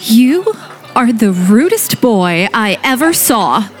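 A woman's voice: on the high side, very animated, slow. A young woman playing a genteel, earnest teenage girl dressed up for a party in an audio play. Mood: playful indignation. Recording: music playing behind the voice.

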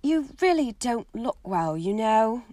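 A woman's voice: on the high side, sing-song, a little slow, mellow, dry recording, voice pushed up. A woman voicing an anxious, earnest teenage girl who pleads and fusses.

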